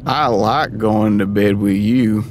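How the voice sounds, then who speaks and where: An actor playing a drunk, superstitious man who is easily spooked and defensive, talking in a halting, tipsy way. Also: lowish voice. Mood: tipsy, stubborn. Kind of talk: audio drama dialogue. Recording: car noise behind the voice.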